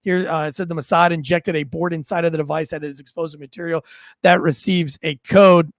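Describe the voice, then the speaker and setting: A man's voice: on the high side, fairly even intonation, a little fast, no room sound, clean studio sound. An American man doing informal breaking-news commentary, conversational, reading out reports and adding his own asides.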